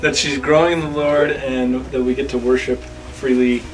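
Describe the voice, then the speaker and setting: A man with a medium-pitched voice does informal interpreting. He is somewhat far from the microphone, and music is clearly audible. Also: flat delivery, normal speed. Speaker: husband interpreting for his wife. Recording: distant mic, music over speech.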